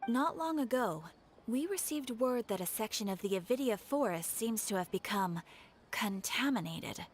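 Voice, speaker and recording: Formal, earnest woman acting a game quest-giver, higher-pitched. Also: expressive, slight background noise, close to an everyday voice. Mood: grave, earnest. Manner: formal, measured. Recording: game music behind.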